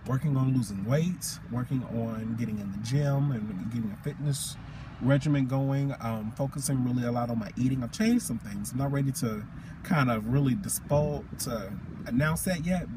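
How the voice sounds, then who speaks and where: Male vlogger, 30s, mid-range voice, informal and reflective, recorded in a car with noticeable background noise. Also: mid-range voice. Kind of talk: casual car chat to camera. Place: inside a parked car, windows open.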